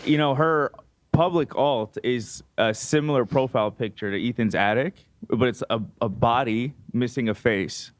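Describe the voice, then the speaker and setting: A man's voice: medium pitch, normal speed, dry recording. A man in casual discussion, speculating and explaining his reasoning in an informal way.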